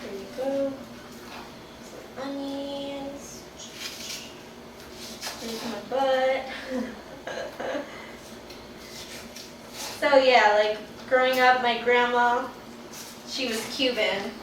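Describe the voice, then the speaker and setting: A young woman in her twenties from Miami chats through a cooking demo, giggling often and taking her time over each word. Her voice sits a little high. She is picked up from a distance in an echoey home kitchen with clear noise behind her. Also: steady tone, drawn-out words, long pauses. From Miami, Florida. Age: 20s. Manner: bubbly, giggly, chatty. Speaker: cooking show contestant. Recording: echoey room, distant mic, noisy.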